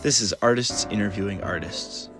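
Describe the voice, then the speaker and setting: A man reading a scripted show introduction in an even, read-out delivery. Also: fairly low pitch, normal speed. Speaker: show announcer. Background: music.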